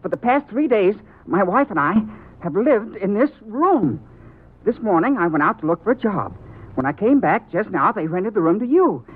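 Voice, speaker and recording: An actor playing a frantic, timid but indignant husband in a 1950s radio drama, stammering, pleading and flustered. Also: male voice, high-pitched, sing-song, moderate pace, husky, exaggerated voice. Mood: scared, pleading. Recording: muffled 1950s radio recording.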